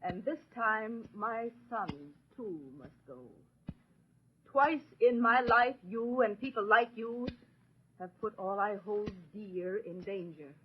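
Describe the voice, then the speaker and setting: Muffled old radio sound with light noise frames an actress playing a middle-aged American mother and soldier's wife. She is solemn and deliberate, sorrowful yet resolute, at an ordinary female pitch. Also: lightly acted. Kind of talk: 1940s radio dramatic monologue. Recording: muffled, slightly noisy old radio recording.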